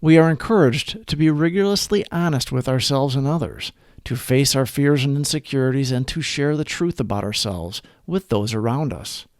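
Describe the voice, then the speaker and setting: A man hosting a recovery podcast, reading daily reflections aloud in an earnest, measured way. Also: medium pitch, no room sound, studio quality.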